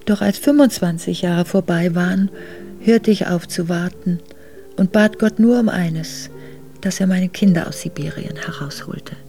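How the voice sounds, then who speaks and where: An actress playing an exiled Russian princess, an ageing mother recalling hard years tenderly in a German radio drama, measured and reflective. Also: on the low side, warm tone, faint music behind. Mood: wistful, resigned. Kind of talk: German radio drama monologue, memoir narration.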